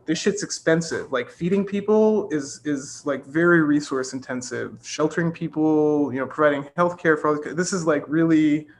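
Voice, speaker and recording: A man's voice: a little high, normal speed, few pauses, slight background noise. A man hosting a casual group discussion, thoughtfully posing open questions to the others. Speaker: podcast discussion host.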